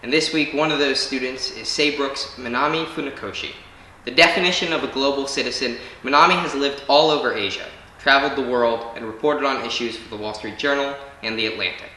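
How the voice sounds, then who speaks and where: A man's voice: mid-range voice, brisk, pushed and bright, a little hiss or hum. A young man hosting a student TV interview show, with upbeat, scripted introductions and questions. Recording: echoey room.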